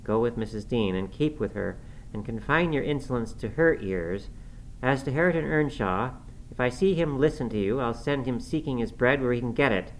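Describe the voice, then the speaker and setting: A man's voice: mid-range voice, expressive, moderate pace, lightly acted. A man voicing a cruel, hot-tempered middle-aged master in an audiobook, commanding and threatening. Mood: cold threat. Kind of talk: audiobook character acting. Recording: clearly noisy recording.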